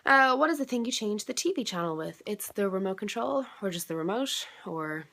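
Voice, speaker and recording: A woman's voice: mid-range voice, expressive, a little fast, gravelly, a bit bright. A young woman with a South Dublin Irish accent and a cold, chatting casually from bed at home, rambling with lots of "like" and "um" and the odd swear. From Ireland. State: has a cold, congested. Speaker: vlogger. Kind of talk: casual chat answering a video challenge.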